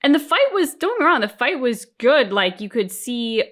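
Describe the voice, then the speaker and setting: A woman's voice: on the high side, sing-song, moderate pace, fairly bright tone. Woman co-hosting a casual reaction chat. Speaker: reaction channel co-host.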